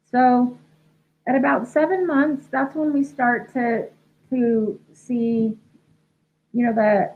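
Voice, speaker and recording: A young woman, a dog trainer, answering viewer questions in a casual live chat, congested and losing her voice from a head cold. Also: fairly high pitch. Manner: chatty, many ums and restarts, reads questions aloud. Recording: tinny phone-like livestream audio.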